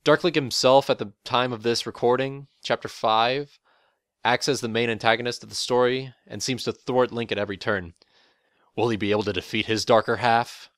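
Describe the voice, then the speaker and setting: A young man with a deep voice, a voice actor giving a prepared, relaxed, matter-of-fact account of his role. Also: moderate pace, dry recording, studio quality. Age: young adult. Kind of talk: prepared interview monologue. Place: college dorm room.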